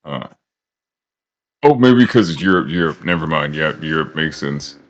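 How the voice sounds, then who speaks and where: A young adult man chatting on a group podcast, quick to react and joke. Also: extremely low pitch, animated, highs cut off. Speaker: podcast co-host. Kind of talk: casual group chat.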